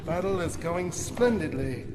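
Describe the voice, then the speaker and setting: A man's voice: on the high side, animated, unhurried, noisy background. A man playing a scheming, jealous baron's son in a video game cutscene, with an oily, eager manner. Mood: boastful, defensive. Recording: hall reverb, prominent music behind.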